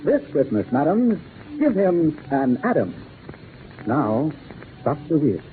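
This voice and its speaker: A man's voice: fairly high pitch, sing-song, slow pace. A man reading sponsor announcements in a polished, emphatic 1940s radio advertising style. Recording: tinny 1940s radio recording with hiss.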